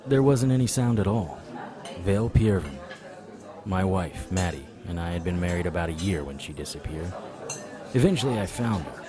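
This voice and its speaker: A man playing a weary, sardonic occult investigator in a noir audio drama, with a dry, wisecracking delivery. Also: sits low. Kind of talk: noir audio drama acting with first-person narration. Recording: crowd chatter behind the voice. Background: crowd chatter.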